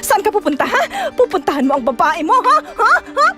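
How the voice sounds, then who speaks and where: An actress playing a jealous, nagging young wife in an audio drama, scolding and accusing with rapid questions. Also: female voice, extremely high pitch, big pitch swings, over loud music. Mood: suspicious, accusing. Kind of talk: Tagalog radio-style drama acting.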